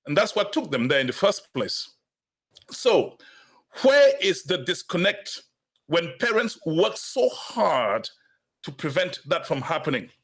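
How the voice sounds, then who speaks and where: Guy hosting a talk show in a studio, kinda high voice, formal and measured. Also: big pitch swings, a bit bright. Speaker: host. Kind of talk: formal broadcast introduction.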